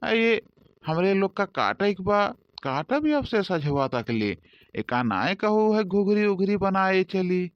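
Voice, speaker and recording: A man's voice: high voice, big pitch swings, slow, lo-fi sound, heavily acted. A man voicing a complaining village farmhand in a rustic comedy cartoon, speaking with an Awadhi accent. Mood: defensive banter. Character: grumbling Awadhi village farmhands idling over cane cutting.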